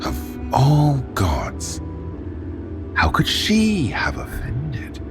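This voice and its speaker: A man's voice: mid-range voice, huge pitch swings, extremely slow, music in the background, full character voice. A man playing a sly, theatrical god of curiosity who needles others in a fantasy audio drama. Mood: sly, probing. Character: sly male god of curiosity, weathered sailor look.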